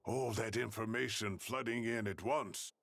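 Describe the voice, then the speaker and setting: A man's voice: lowish voice, fairly even intonation, a little slow, vocal fry, a bit bright, no room sound, full character voice. A man voicing an earnest, articulate scholar in a dramatic video game scene. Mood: grave, emphatic. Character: earnest adult male scholar who studies the ley lines.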